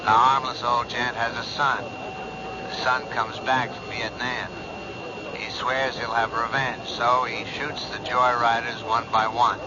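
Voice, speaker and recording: A man playing a gruff, irritable police captain with dry sarcasm in a 1970s radio drama. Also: mid-range voice, flat delivery, unhurried, natural voice. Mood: grumpy, sarcastic. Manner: gruff, curt, dryly sarcastic. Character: gruff, skeptical, irritable male police captain, older boss. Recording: noisy, dull 1970s radio recording.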